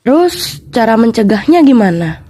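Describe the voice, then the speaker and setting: A young Indonesian-accented woman co-hosts a student podcast with scripted lessons. Her voice is slightly high yet soft and rounded in tone. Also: sing-song, normal speed.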